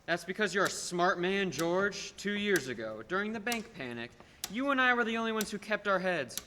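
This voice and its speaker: Casting: condescending, domineering businessman acted by a young man, highish forceful voice, radio-play delivery. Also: very animated, heavily acted. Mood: smug, calculating. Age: young adult. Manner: patronizing, persuasive, deliberate emphasis. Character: scheming rich businessman, condescending, smooth-talking and domineering. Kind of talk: filmed radio play acting. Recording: echoey hall, background noise.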